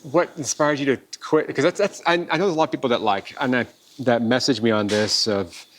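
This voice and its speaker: A man's voice: medium pitch, steady tone, a little fast, slight background noise. A man hosting an interview, asking curious questions that he restarts as he goes. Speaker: podcast host.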